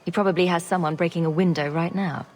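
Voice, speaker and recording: Woman as a sarcastic, lower-voiced heroine. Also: fast, close to an everyday voice. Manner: dry, deadpan quips. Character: sarcastic, self-assured female champion adventurer. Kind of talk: video game cutscene acting.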